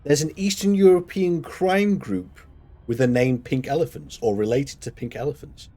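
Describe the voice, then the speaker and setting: A man's voice: fairly high pitch, expressive, normal speed, warm tone. A man with a Mancunian accent chatting casually while live-streaming a game, rambling and laughing often. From Manchester, England.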